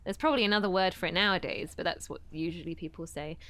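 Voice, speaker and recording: A woman's voice: lowish voice, quick pace, fairly bright tone, no room sound. A woman, a guest voice actress, giving earnest advice in casual podcast chat.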